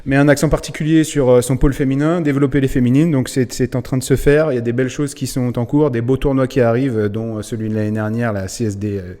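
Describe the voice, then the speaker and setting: Mellow-voiced man interviewing in an echoey room. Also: medium pitch, steady tone, fast, hardly any pauses. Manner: friendly, hesitant, many fillers. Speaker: club channel host. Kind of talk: casual interview. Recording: reverberant room.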